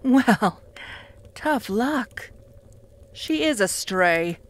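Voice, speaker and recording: A woman voicing a cruel, jealous female vampire who taunts the listener in a slow, purring voice that often drops to a whisper. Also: on the high side, expressive, dry recording. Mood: jealous, possessive. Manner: taunting, with soft mocking laughs. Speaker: voice actor in audio roleplay. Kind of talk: audio roleplay addressed to the listener.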